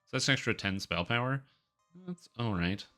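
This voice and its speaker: Congested, bright-voiced man streaming a game. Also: mid-range voice, moderate pace, faint music behind. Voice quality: congested, nasal. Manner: rambling, talks to himself and viewers. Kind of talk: casual live-stream chat while gaming. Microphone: close microphone.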